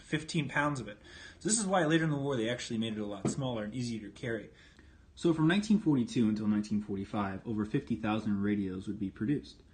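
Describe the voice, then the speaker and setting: A man's voice: lowish voice, darkish tone. A man presenting an informal hands-on demonstration, explaining things step by step in a plainspoken way. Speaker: presenter demonstrating vintage military radio equipment.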